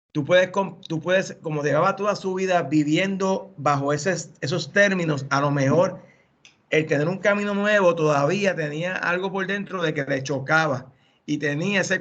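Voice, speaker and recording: A man sharing thoughts in a group Bible study, speaking haltingly with many restarts. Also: high-pitched, a bit bright. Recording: muffled video call audio.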